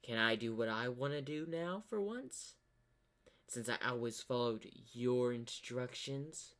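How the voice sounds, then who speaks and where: A man's voice: mid-range voice, unhurried, a bit bright, lightly acted. A man voicing a haughty, sarcastic female magical pony, boastful and impatient in a cartoon fan story. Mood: frustrated. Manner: boastful, dismissive.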